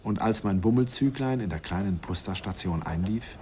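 A man's voice: fairly low pitch, expressive, normal speed, darkish tone, a little hiss or hum, lightly acted. A man playing a nostalgic narrator recalling a youthful romance in a radio play, wistful, intimate and amused.

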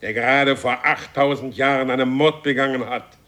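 An actor playing an imperious warrior from a future race in a radio play, with a commanding, grandiose and menacing way of speaking. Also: male voice, medium pitch, steady tone, moderate pace, sharp tone, lightly acted. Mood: threatening. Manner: commanding, grandiose, solemnly threatening. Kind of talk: German radio play acting.

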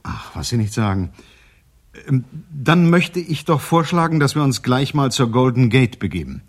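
An actor playing a polite, probing private detective in radio play dialogue, matter-of-fact and slightly husky. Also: male voice, mid-range voice, big pitch swings, moderate pace. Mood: businesslike.

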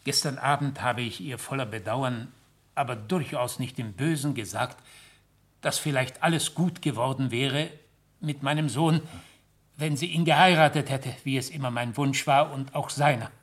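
Man playing worried well-off dad in a radio play, husky, halting, blames himself, gets agitated. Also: mid-range voice, natural voice. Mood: sorrowful, downcast. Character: troubled wealthy father and uncle, anxious, self-reproachful. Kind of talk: German radio drama acting.

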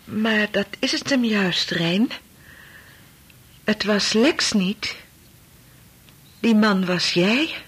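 An actress playing an anxious, probing wife in a Dutch radio play, husky and hesitant, repeating words as she presses her questions. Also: mid-range voice, lively intonation, extremely slow, long pauses, fairly bright tone, exaggerated voice. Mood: ominous. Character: anxious, probing wife of a doctor, brooding and insistent.

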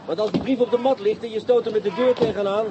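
An actor playing a brisk, wry, commanding police inspector in a radio play, with a Netherlands Dutch accent. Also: male voice, high voice, steady tone, lo-fi sound, background noise, natural voice. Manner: commanding, dryly sarcastic.

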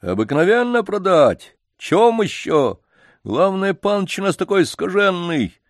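A man reading an audiobook, voicing a loud, pushy male yard-keeper who keeps coaxing and pleading. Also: high voice, very animated, unhurried, dry recording, studio quality, pitched above the performer's own voice, heavily acted. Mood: insistent. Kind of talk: audiobook character voice.

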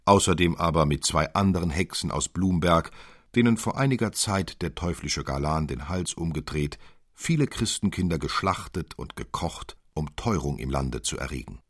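A man narrating a literary tale in an expressive, deliberate, old-fashioned storytelling manner. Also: deep voice, dry recording, clean studio sound. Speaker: audiobook narrator.

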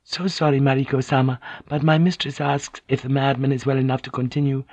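A man's voice: mid-range voice, flat delivery, fairly quick, a little mellow, no room sound, voice pushed up, lightly acted. A man voicing an apologetic, humble young maid passing on her mistress's wishes. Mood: deferential. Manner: humble and polite. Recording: dull, dry lo-fi recording.

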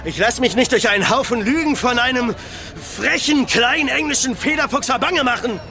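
An actor playing a defiant, quarrelsome sailor in a radio drama, agitated and indignant. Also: male voice, extremely high pitch, moderate pace, creaky, bright, forceful tone, heavily acted. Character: defiant, quarrelsome ship's crewman with injured arm.

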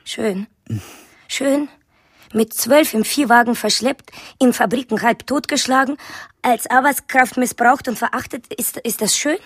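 Bitter female intruder, radio drama, husky, higher-pitched, insistent, accusing, clipped demands. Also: unhurried, no echo, clean studio sound. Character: bitter, demanding daughter of a forced labourer, armed intruder.

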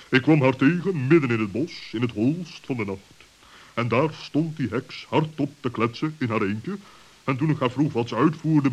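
A stern old-radio owl, played by a man, grave and suspicious yet caring. He speaks deliberately at a medium pitch, and the recording is dull and dated. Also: natural voice. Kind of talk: 1960s children's radio play acting.

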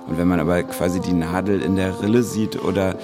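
A man's voice: sits low, fairly even intonation, a little fast, over loud music. A man chatting casually as an interviewee, thinking aloud in loose sentences.

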